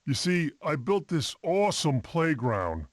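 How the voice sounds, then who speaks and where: A man's voice: a little high, big pitch swings, measured pace. A cartoon mammoth, an anxious and chatty expectant dad in a family game. His delivery swings widely and takes its time, with dry wisecracks, over a slightly noisy clip. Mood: earnest, wry. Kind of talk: animated family game voice acting.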